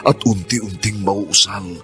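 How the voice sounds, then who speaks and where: A man's voice: fairly low pitch, steady tone, slow pace, bright, forceful tone. A man with a Filipino accent narrating and announcing a radio drama in a scripted, declamatory style. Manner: theatrical, declamatory. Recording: music behind the announcer.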